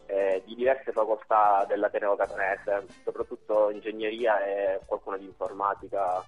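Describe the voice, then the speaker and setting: A young man explaining things haltingly in a phone interview. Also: on the low side, flat delivery. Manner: hesitant, halting. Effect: telephone. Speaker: interview guest, university robotics team member. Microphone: telephone. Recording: tinny phone line, music underneath.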